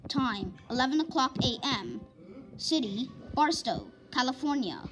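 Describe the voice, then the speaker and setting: A woman's voice: high voice, animated, unhurried, fairly bright tone, faint music behind, rough recording. A woman announcer reading a scripted emergency weather warning in a formal bulletin manner.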